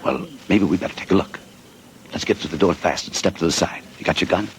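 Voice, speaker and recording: An actor playing a tough, wisecracking American adventurer who also narrates, in clipped hard-boiled 1940s radio drama style. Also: male voice, sits low, fast, fairly bright tone, low-quality recording. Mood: tense. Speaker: narrator and lead. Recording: hissy 1940s radio broadcast.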